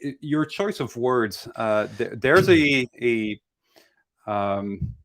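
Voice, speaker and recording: A man's voice: medium pitch, animated, takes it slowly. A man with a French Canadian accent, a native French speaker, co-hosting a casual live Q&A and hesitantly searching for words. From Montreal, French Canada. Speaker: Q&A co-host.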